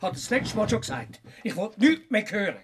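A man's voice: high-pitched, very animated, brisk, slightly rough, heavily acted. A man acting an exasperated, pragmatic village mayor with a Swiss German accent in a radio play. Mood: weary exasperation. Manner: admonishing, dismissive. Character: exasperated, pragmatic village mayor, farmer's old school friend.

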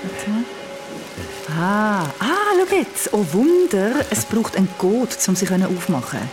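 A woman's voice: mid-range voice, big pitch swings, unhurried, over music, low-quality recording. An actress playing an observant, sceptical young woman among old friends in an audio drama, questioning and nitpicking. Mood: sceptical, curious.

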